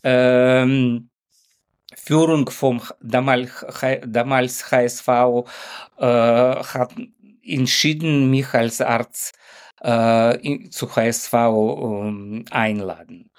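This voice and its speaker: A mature man with a Ukrainian accent speaks as a non-native interview guest. He talks slowly and haltingly, searching for words and keeping his phrasing simple. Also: medium pitch, steady tone, smooth. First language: not native in German. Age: middle-aged or older. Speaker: sports doctor, interview guest. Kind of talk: conversational interview.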